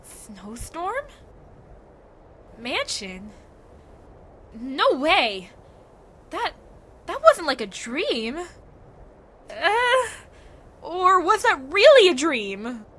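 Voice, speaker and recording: An excitable, bossy teenage schoolgirl played by a woman in an anime-style audio drama. Her voice is extremely high and bright, swinging widely with feeling. She sounds anxious for her friend. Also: drawn-out words, pauses often, exaggerated voice. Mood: baffled, hesitant.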